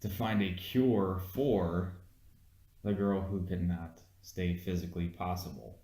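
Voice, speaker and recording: A man with a fairly low voice talks in a reverberant room. He is having a casual review chat and comes across as blunt and argumentative, swearing freely as he makes his case. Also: steady tone, a little slow, warm tone, slight background noise. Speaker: podcast co-host. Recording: echoey room.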